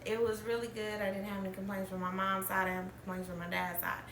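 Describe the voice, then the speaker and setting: A woman's voice: mid-range voice, little pitch movement, fast, background noise. A woman, telling personal stories as a podcast host, chatty and laughing often. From Alabama, US. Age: 30s. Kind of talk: candid storytelling chat. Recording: roomy, distant mic.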